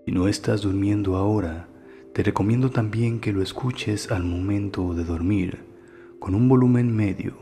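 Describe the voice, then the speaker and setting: A man hosting a guided meditation, giving soothing, unhurried instructions, recorded as narration for relaxation. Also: fairly low pitch, dark tone. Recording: voice over music and water sounds. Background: relaxation music, running water.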